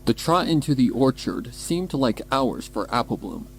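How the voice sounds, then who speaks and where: A man's voice: medium pitch, very animated, normal speed, gravelly, a little hiss or hum. A man reading scripted story narration as the narrator of an audio drama. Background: faint music.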